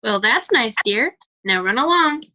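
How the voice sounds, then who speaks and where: A woman's voice: a little high, expressive, measured pace, bright, forceful tone, dull audio, slight background noise. A woman playing a polite, indulgent suburban mother in a radio play. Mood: dismissive, indulgent.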